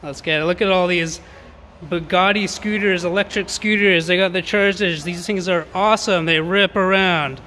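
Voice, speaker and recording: A man with a high-pitched voice shows off sale items for an auction house. His patter is upbeat, informal and slangy, aimed straight at buyers. He records on a handheld device in a warehouse, with clear background noise. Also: fairly even intonation, normal speed, sharp tone. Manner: enthusiastic, slangy sales patter. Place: large warehouse showroom, indoors.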